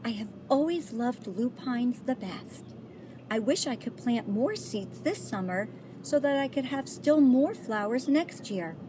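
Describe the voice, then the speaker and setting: A gentle, thoughtful older lady from a children's storybook, acted out by a woman reader. She speaks slowly and earnestly in a lightly high, very expressive voice, with a wistful air. The recording has clear background noise.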